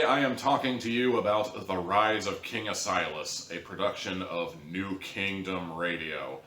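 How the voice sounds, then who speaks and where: A man's voice: fairly low pitch, bright, forceful tone. A man giving an informal, ranting critical review in an exasperated, theatrically dramatic way, drawing out words for emphasis. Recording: echoey room, distant mic, faint noise.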